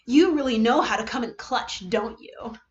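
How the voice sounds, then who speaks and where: A woman voicing a wicked, mocking teenage boy villain with drawn-out, theatrical teasing in a dramatic story reading. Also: a little high, animated, reverberant, lo-fi sound, lightly acted. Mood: gleeful taunting. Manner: taunting, drawn-out teasing delivery.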